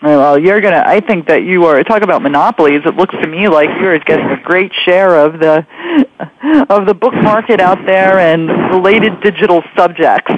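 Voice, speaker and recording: A woman's voice: fairly low pitch, moderate pace, noisy background. Encouraging woman host on lo-fi radio. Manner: encouraging, affirming, conversational. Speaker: radio show host and interviewer. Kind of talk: casual interview chat.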